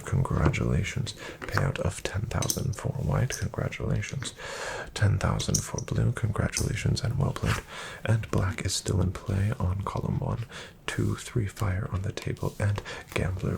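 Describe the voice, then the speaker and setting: A man playing a courteous, composed casino dealer in a soft-spoken ASMR roleplay, with formal phrasing and a breathy near-whisper. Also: low voice, noisy background, exaggerated voice. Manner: formal, polite, measured phrasing.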